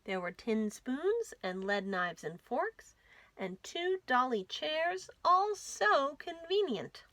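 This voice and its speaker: A woman reading a children's story aloud as a storytime host, with dramatic, expressive delivery. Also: sits high, takes it slowly, no echo. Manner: dramatic, animated storytelling with whispers and emphasis. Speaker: library storytime reader.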